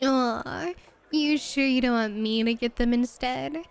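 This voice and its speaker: Casting: actress for flirty female club hostess in roleplay audio, higher-pitched, coy and teasing, drawn-out delivery. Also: gravelly, smooth. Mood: flirty, warm. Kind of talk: flirty ASMR roleplay acting.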